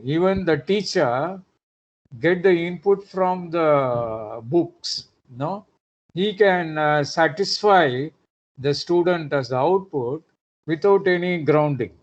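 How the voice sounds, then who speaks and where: Male lecturer, slightly high voice, halting delivery, dull lo-fi online audio. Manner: hesitant with frequent "uh", rhetorical tag questions.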